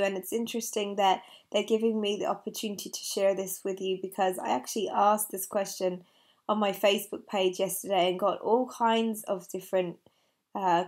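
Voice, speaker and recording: A woman in her thirties giving a weekly angel card reading to camera from her living room sofa, her voice slightly croaky, speaking softly and earnestly with pauses and fillers. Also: medium pitch.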